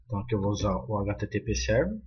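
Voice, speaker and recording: A man's voice: on the low side, sing-song, fast, a little mellow, husky, muffled recording. A man with a Brazilian accent explaining a coding tutorial step by step, with frequent fillers and restarts. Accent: Brazilian Portuguese. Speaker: programming tutor.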